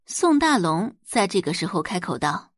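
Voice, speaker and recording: A woman's voice: on the low side, very animated, normal speed, dry recording, studio quality. A woman giving dramatic scripted narration as the narrator of an audio drama.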